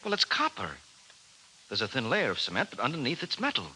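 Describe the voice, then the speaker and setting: Tense, anxious 1950s American husband. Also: male voice, medium pitch, wildly swooping pitch, pushed and bright, breathy edge, full character voice, rough recording. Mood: alarmed. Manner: urgent, halting, emphatic. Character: anxious, bewildered suburban husband shaken by discoveries. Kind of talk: 1950s radio drama acting. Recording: hissy 1950s radio recording.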